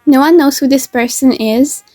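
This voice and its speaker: A woman reading a written post aloud as a guest, brisk and matter-of-fact. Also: high voice, dark tone. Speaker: guest reader.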